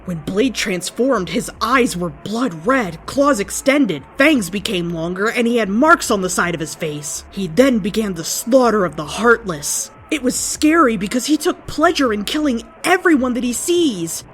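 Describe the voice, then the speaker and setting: A woman reads fan fiction aloud as a story narrator. Her delivery is theatrical with lively swings in pitch, and music plays underneath. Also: normal speed, few pauses. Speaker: story reader. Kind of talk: dramatic reading of amateur fan fiction.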